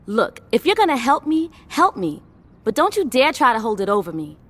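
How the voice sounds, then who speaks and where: An actress playing a proud, sharp-tongued young singing star in a video game, sassy and assertive. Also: female voice, fairly high pitch, big pitch swings, normal speed. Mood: indignant. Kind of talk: video game cutscene acting.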